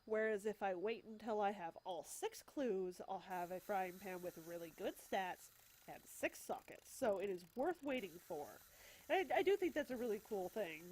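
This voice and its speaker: A woman's voice: mid-range voice, a little mellow, a little hiss or hum, rough recording. Stuffed-up woman chatting through gameplay. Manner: chatty, explains as she plays, wry asides. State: stuffed up with a cold. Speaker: let's play host. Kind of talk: casual gameplay commentary.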